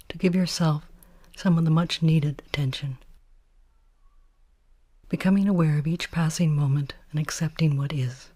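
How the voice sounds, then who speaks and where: A woman teaches a guided meditation in a soft, hushed voice with a breathy, airy edge. Her tone is dark and relaxed, and her phrasing is measured and soothing. The sound is close and very dry. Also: low voice, no echo. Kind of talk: guided body scan meditation.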